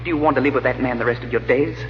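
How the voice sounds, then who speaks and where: An actor playing a sly, resentful, spendthrift aristocrat who schemes, sardonic and theatrical, in old-time radio drama style. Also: male voice, mid-range voice, steady tone, very fast, slight background noise, natural voice. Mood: sardonic, calculating. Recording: reverberant, muffled old radio recording.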